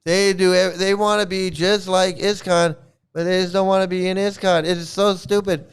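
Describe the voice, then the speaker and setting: Brief: sarcastic male commentator, repetitive and mocking, fairly high, very clear voice. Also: little pitch movement, normal speed. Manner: sarcastic, laughs often, repeats himself. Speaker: YouTube commentator. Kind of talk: mocking rant over video clips.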